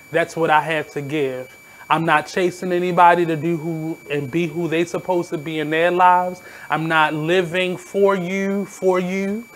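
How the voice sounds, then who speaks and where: A man in his thirties from Baltimore with a naturally high voice, hosting his radio show in a candid, blunt, reflective monologue. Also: slight background noise. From Baltimore, USA. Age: 30s. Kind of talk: casual monologue.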